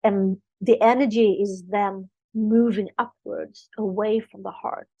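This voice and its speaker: A woman's voice: mid-range voice, steady tone, a little slow, dark tone, no room sound, muffled recording. A middle-aged woman whose first language is Swedish, from Sweden, speaking earnestly in English about spiritual growth in a podcast interview. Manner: earnest, flowing spiritual talk. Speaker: author and spiritual coach.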